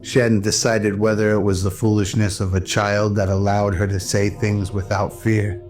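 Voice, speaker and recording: A man reads scripted radio drama narration. His voice is very deep and stays flat and even from phrase to phrase. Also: normal speed, over music.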